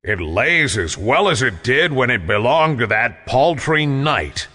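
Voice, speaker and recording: A huge male storybook giant, performed by a man, bullying and hungry for people. The voice booms and commands, with slow delivery and big swoops in pitch. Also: very animated, bright, forceful tone, long reverb tail, heavily acted. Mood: gruff. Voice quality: booming. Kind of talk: storybook character voice.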